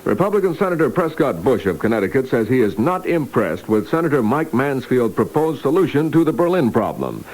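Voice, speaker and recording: A male news anchor from 1960s radio reads scripted copy. His mid-pitched voice swings widely in intonation, on a vintage AM aircheck recording. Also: sing-song, a little fast, hardly any pauses, darkish tone, a little hiss or hum, rough recording.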